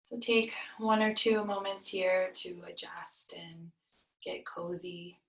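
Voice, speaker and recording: A woman leading a guided meditation as a yoga nidra teacher, soothing and unhurried, with long pauses between phrases. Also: on the low side, little pitch movement. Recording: roomy echo, distant mic.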